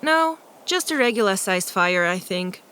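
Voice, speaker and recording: A woman's voice: mid-range voice, expressive, unhurried, clear, resonant tone. A woman playing an odd, earnest character who makes surreal pronouncements in a radio play. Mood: earnest, matter-of-fact. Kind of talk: scripted radio play acting. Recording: prominent music under the voice. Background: loud music.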